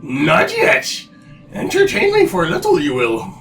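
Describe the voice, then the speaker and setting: Man putting on a high, swooping cryptic shrine spirit. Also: huge pitch swings, a little slow, gravelly, fairly bright tone, far from the mic, faint music behind, exaggerated voice. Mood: mysterious, playful. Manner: stilted inverted syntax, theatrical. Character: cryptic, backwards-speaking shrine spirit, teasing and theatrical.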